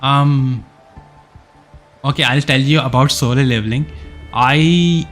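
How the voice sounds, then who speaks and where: Slow-talking casual male game streamer. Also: mid-range voice, fairly bright tone, soft background music. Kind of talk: casual live gameplay commentary.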